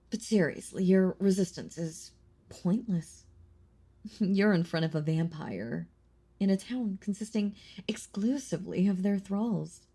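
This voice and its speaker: An ancient female vampire, played by a woman, speaks in a slow, husky murmur with a creaky edge. She is possessive and condescending, teasing the listener with quiet menace in an intimate audio roleplay, often slipping into whispers. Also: mid-range voice, lively intonation, exaggerated voice. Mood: mocking, confident.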